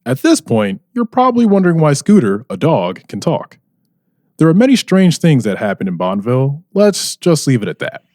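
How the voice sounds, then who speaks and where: A man narrating a scripted comic radio serial with animated storytelling. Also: medium pitch, normal speed. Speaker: narrator of an audio drama.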